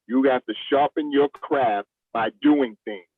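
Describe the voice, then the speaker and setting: A man's voice: mid-range voice, a little slow, slightly rough, no room sound. Man, fifties, plainspoken casual talk, veteran actor, narrow lo-fi band, dry sound. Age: 50s. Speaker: veteran actor, interview guest. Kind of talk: casual chat.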